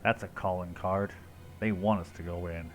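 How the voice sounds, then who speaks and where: A man's voice: deep voice, fairly even intonation, moderate pace. A man giving casual live commentary as a game streamer, wry and sweary. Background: game music.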